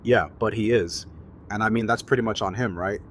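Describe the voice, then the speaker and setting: Man acting easygoing, slangy young-hearted buddy, slightly low voice. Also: brisk, slight background noise, natural voice. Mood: gentle, frank. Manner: casual, slangy, laid-back. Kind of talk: fan audio drama acting, casual dialogue.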